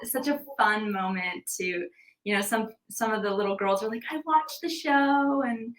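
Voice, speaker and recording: A woman's voice: fairly high pitch, normal speed, reverberant, poor audio. A woman chatting as a podcast guest in a bubbly, giggly and playful way. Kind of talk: casual interview chat.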